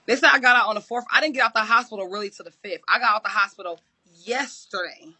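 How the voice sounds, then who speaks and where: A woman's voice: on the high side, fast talker, pushed and bright, dry recording. A woman talking at length in an interview clip, insistent and assertive, repeating her points. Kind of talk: rambling monologue. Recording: dull lo-fi clip.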